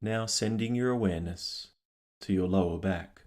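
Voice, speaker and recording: A man leading a guided meditation, speaking calmly and gently in unhurried phrases with long pauses. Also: low voice. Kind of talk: guided meditation, scripted narration.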